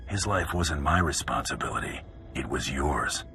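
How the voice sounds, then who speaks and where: Very deep gruff bounty hunter. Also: male voice, animated, moderate pace. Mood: terse, cool. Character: gruff, confident male bounty hunter, terse and cocky. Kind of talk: dramatic video game dialogue. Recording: game music behind the voice.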